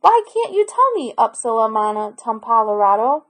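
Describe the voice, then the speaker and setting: A woman's voice: on the high side, big pitch swings, moderate pace. A woman voicing a spirited, inquisitive old grandmother in a storybook read aloud.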